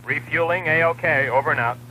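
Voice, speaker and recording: An actor playing a brisk astronaut calling in status reports over radio in a 1960s science fiction film. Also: male voice, on the high side, bright, forceful tone. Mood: businesslike. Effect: radio transmission. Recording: thin radio voice with faint noise.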